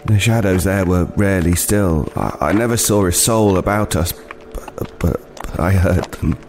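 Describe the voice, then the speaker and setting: A man's voice: deep voice, over music. An actor playing a weary, wary homeless man, hesitantly telling an unsettling tale in an audio play. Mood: uneasy, ominous. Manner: hesitant, halting, haunted, with repeated words. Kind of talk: dramatic first-person storytelling in an audio play.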